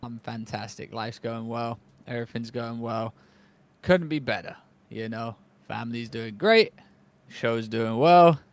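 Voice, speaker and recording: A man co-hosting an esports podcast, relaxed and slangy, joking around with frequent stammering restarts. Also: medium pitch, measured pace. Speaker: co-host. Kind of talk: casual esports podcast chat.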